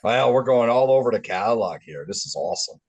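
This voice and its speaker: A man as a guest on a music chat, fondly describing a favorite heavy track in a relaxed way. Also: medium pitch, fairly quick, slightly rough. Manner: relaxed, fond enthusiasm. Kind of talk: casual music discussion.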